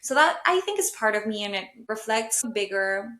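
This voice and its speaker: A woman's voice: medium pitch, big pitch swings, fairly quick, bright, forceful tone. Thoughtful woman in an echoey room. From Colombia. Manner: thoughtful, self-searching, many fillers like "like" and "kind of". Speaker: podcast host and stylist. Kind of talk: reflective solo podcast monologue.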